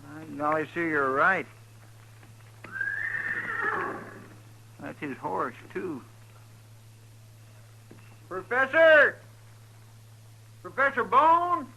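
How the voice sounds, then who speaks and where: Creaky, folksy Western sidekick. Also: male voice, sits high, expressive, slow pace, long pauses, pushed and bright, heavily acted. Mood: startled, anxious. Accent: rural American. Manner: drawling, deferential, plain-spoken. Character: folksy, earnest, simple deputy sidekick in the Old West. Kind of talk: 1950s radio western acting. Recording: faintly noisy old 1950s radio recording.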